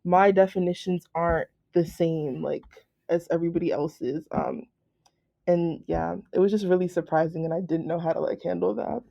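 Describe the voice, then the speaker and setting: A young woman student chatting on a podcast, with a slightly deep voice and heavy use of "like". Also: fairly even intonation, moderate pace, vocal fry, mellow, dull audio. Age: young adult. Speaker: student guest. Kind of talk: casual podcast discussion.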